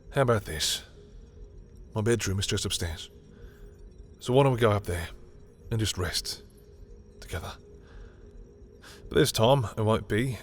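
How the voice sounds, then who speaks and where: A man playing a proud, guarded yet tender villain in love, in an intimate romantic roleplay with dramatic, halting, confessional delivery. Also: on the low side. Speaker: roleplay audio performer. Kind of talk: intimate second-person romantic roleplay acting.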